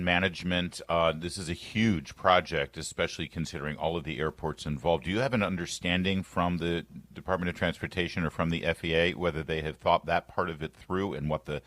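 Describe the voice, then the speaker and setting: A man's voice: sits low, fairly bright tone, no room sound. A man hosting a conversational news talk radio interview, posing questions and summing up points. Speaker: radio show host.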